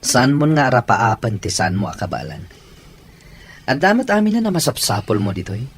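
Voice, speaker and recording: An actor playing a curt, domineering husband in an Ilocano radio drama. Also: male voice, mid-range voice, sing-song, brisk, slight background noise. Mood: curt, dismissive.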